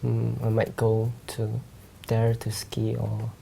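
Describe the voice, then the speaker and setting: A man's voice: mid-range voice, monotone, slow pace, creaky, mellow, slight background noise. A young man from Malaysia answering exam questions hesitantly with many fillers. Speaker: exam candidate.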